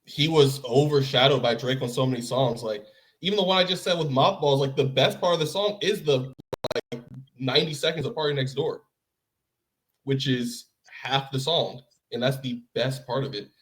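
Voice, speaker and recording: A man hosts a casual podcast chat in a mid-pitched voice. His talk is blunt and full of slang, with a joking edge. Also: normal speed, rough recording. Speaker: podcast host.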